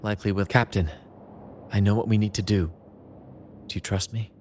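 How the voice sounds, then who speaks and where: A man's voice: on the low side, fairly even intonation, moderate pace, a little mellow, a little hiss or hum, close to an everyday voice. A man voicing a confident, theatrical young adventurer in a video game. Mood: assured.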